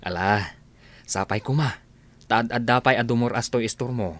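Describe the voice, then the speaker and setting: Actor as an opinionated Ilocano village husband, mid-pitched. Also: male voice, moderate pace, a bit bright, natural voice. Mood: friendly advising. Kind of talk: Ilocano comedy radio drama acting.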